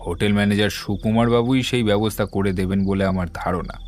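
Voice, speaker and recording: An actor playing a composed, methodical detective, explaining things in a measured, assured way in an audio drama. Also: male voice, on the low side, fairly even intonation, darkish tone, lightly acted. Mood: composed, explanatory. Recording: background music under the voice.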